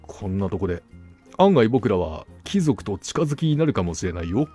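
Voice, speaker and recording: A man voicing a gullible, self-assured gentleman hunter in a storybook, musing aloud conversationally. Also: lowish voice, sing-song, moderate pace, husky, soft background music. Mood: musing, satisfied. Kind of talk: storybook dialogue acting.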